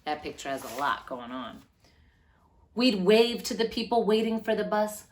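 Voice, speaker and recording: A woman reading a children's picture book aloud as a storyteller, with animated, deliberate phrasing and drawn-out words. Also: mid-range voice.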